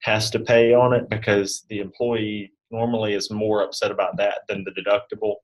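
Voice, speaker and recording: Low-voiced man, halting and conversational. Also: level tone, highs cut off. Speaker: guest expert on health insurance benefits. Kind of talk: informal interview answers.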